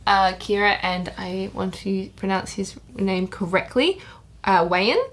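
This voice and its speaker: A young woman co-hosting a podcast, talking in a warm, effusive way with lots of hesitations. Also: medium pitch, measured pace. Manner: effusive, hesitant with many ums. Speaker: podcast co-host. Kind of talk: casual review chat.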